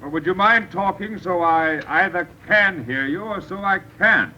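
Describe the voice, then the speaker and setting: A man's voice: high voice, normal speed, pushed and bright. An actor playing a stern, exasperated middle-aged father in a 1930s radio comedy. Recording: dull, faintly noisy old radio recording.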